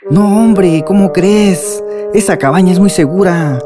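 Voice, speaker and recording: An actor playing a calm, scheming man in a radio drama, placating and dismissive. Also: high-pitched, a little slow, loud music behind the voice. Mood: coolly reassuring. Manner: smooth-talking, placating. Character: calm scheming male accomplice, falsely reassuring, dismissive.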